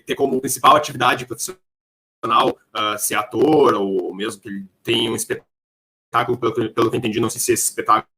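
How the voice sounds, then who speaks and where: Um homem com sotaque do sul do Brasil entrevistando de modo informal, montando as perguntas aos pedaços. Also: male voice, medium pitch, fairly even intonation, a little fast, vocal fry, bright, forceful tone. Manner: rambling, piecemeal questions. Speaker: podcast interviewer. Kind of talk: informal interview questioning.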